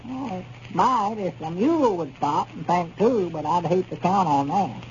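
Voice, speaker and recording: On a muffled, noisy 1930s radio recording, a naive old country storekeeper. His voice is high, with a rural Arkansas twang, and he rambles along folksily, taking everything literally. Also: animated, measured pace. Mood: puzzled, rambling. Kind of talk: 1930s radio comedy acting.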